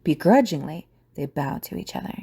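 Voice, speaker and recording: A woman's voice: low voice, animated, a little fast, vocal fry, mellow, husky. A woman narrating a scripted audio drama as storyteller.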